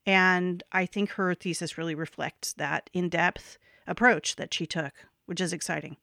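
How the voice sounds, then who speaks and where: A woman's voice: lowish voice, fairly even intonation, normal speed, vocal fry, pushed and bright, no echo, studio quality. Enthusiastic woman co-hosting a podcast. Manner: enthusiastic, conversational. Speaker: podcast co-host. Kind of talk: scripted podcast intro.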